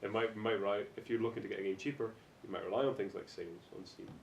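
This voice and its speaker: A man's voice: fairly even intonation, quick pace, gravelly. A man chatting casually about things as a podcast panelist. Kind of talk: casual group discussion of video games. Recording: echoey, distant mic with background rumble.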